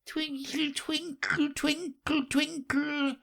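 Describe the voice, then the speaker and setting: A man voicing a sleepy, feeble male dormouse with a hoarse, half-whispered voice, read as a storybook. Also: sing-song, heavily acted. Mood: sleepy singing. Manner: slow, drowsy, high and breathy. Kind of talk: storybook reading.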